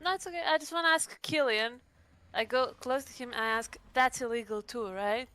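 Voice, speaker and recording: A woman's voice: on the high side, animated, fairly quick, sharp tone, clean tone. A woman playing a tabletop roleplaying game, casually describing and speaking her character's moves.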